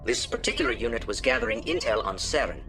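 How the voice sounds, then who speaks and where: Game role: stern alien official, mid pitch, strongly modulated delivery, formal and measured phrasing. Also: male voice, huge pitch swings, music in the background. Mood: grave, official. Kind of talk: video game voice acting.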